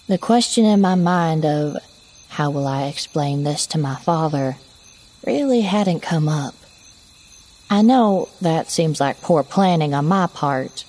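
A woman narrating a horror story in the first person as a shaken daughter looking back, with measured, reflective phrasing. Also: sits low, a little mellow. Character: shaken daughter recounting a frightening childhood memory.

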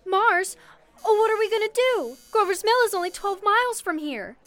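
A woman's voice: very high-pitched, lively intonation, moderate pace, a bit bright. A woman playing a timid, frantic young switchboard operator in an old-time radio play. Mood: alarmed, frantic.

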